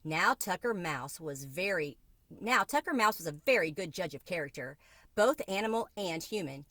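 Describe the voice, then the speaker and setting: A woman's voice: on the low side, animated, moderate pace, sharp tone, no room sound, studio quality. A woman reading a children's book aloud as a storyteller.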